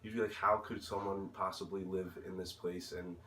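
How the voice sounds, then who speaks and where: A young American man with a fairly low voice gives informal interview answers. He speaks hesitantly and searches for words. The recording is roomy and distant from the microphone, with faint noise. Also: little pitch movement, normal speed, pushed and bright. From United States.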